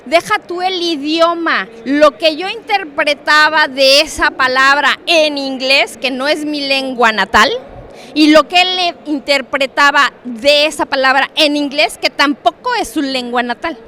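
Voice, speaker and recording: A middle-aged woman with a Mexican Spanish accent giving a conference talk on trade, animated and joking, often turning to the audience with questions. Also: high voice, a little slow. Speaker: conference speaker on international trade. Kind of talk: lively conference talk with props and asides. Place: conference hall.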